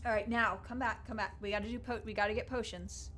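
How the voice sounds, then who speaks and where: A young woman playing a video game with a headset, jittery and excitable, swinging from loud yelps to hushed muttering. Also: on the high side, fast talker, slight background noise. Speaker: gamer. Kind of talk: let's play commentary. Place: small room indoors. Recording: game music loud behind voice. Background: game music.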